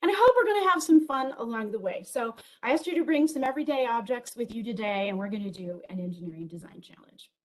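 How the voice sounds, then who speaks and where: A woman with a big, full voice delivers a keynote over a video call setup. She sounds enthusiastic and lively, with plenty of ums and small asides as she speaks. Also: on the high side, fast talker, few pauses, poor audio. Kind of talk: virtual conference keynote.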